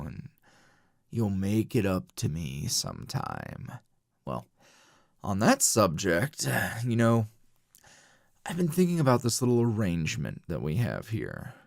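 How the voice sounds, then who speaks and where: A man playing a weary, flirtatious male teacher in an intimate ASMR roleplay, with a languid, dry drawl full of sighs and pauses. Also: on the low side, gravelly, a little mellow, dry recording, exaggerated voice. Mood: weary, reproachful.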